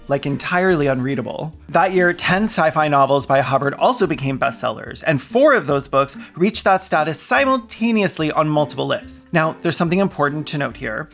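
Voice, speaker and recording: A man with a somewhat higher-pitched voice delivers scripted commentary as a host. His manner is sardonic and dryly witty, like a video essayist making a pointed case. Faint music plays underneath. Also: creaky, fairly bright tone. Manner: sardonic, dry wit.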